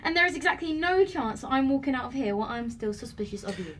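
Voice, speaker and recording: A woman's voice: high voice, expressive, fast talker, a bit bright, slight background noise. An actress playing a feisty, stubborn young woman in an audio drama, challenging and sarcastic. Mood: indignant, defiant.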